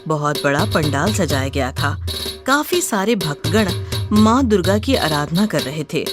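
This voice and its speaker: A woman's voice: sits low, lively intonation, unhurried, music in the background. A woman giving dramatic scripted narration of a horror story.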